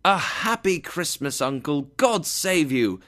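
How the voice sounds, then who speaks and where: A man voicing a cheerful, warm-hearted young nephew in a storybook tale, earnest and eager. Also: mid-range voice, very animated, moderate pace, close to an everyday voice.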